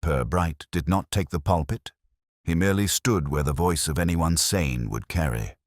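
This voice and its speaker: A man reading a literary mystery story as narrator, in a measured and deliberate storytelling manner. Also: extremely low pitch, no room sound, clean studio sound.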